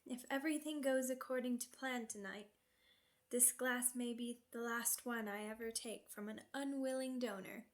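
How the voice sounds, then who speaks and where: A woman's voice: a little high, moderate pace, natural voice. A woman playing a seductive, sadistic vampire noblewoman in an ASMR roleplay, speaking formally and teasingly, savoring each phrase. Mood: menacing, amused.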